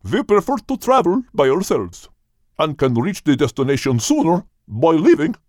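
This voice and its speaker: A man's voice: on the high side, big pitch swings, normal speed, darkish tone, dry recording, clean studio sound. A man voicing a pompous, arrogant male turkey who scorns others in an animal audiobook. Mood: scornful. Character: haughty, insulting male turkey, scornful and aloof.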